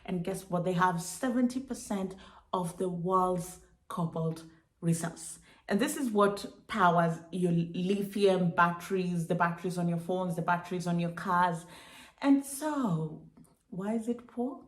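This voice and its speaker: A woman's voice: on the low side, measured pace. A woman giving political commentary to camera, rhetorical and emphatic, firing questions at the viewer. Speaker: political video creator. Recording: echoey room.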